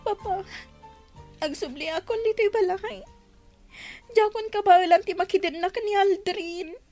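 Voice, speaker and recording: An actress in a radio drama playing a long-suffering, distressed young wife with a plaintive, earnest way of talking. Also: female voice, extremely high pitch, normal speed, darkish tone, no echo, soft background music. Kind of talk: Ilocano radio drama acting.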